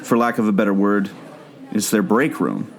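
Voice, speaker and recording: A man's voice: fairly low pitch, moderate pace, natural voice. A man playing a wisecracking, knowledgeable adventurer in a comic radio play, explaining things in a quippy way. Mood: knowing. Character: wisecracking, knowledgeable male adventurer held captive. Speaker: podcast guest playing himself.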